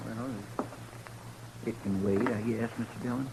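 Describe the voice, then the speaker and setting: Mild, folksy frontier deputy, noisy recording. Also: male voice, mid-range voice, steady tone, unhurried, gravelly, darkish tone. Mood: hesitant. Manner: hesitant, folksy, respectful. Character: deferential, mild, loyal frontier marshal's helper. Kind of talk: 1950s radio western acting. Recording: noisy 1950s radio recording.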